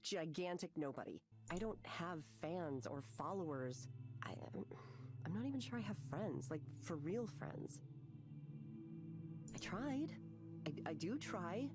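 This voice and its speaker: A woman's voice: on the low side, lively intonation, a little slow. A woman playing an anxious, self-doubting young artist in a visual novel, hesitant and repeating her words. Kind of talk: naturalistic visual novel voice acting. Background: music.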